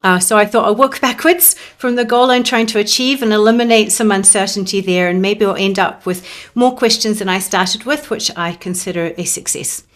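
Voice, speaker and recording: A woman giving a prepared academic talk remotely into a close mic, in a measured, reflective manner. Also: mid-range voice. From New Zealand. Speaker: conference presenter. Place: presenting remotely over the internet. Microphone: close microphone.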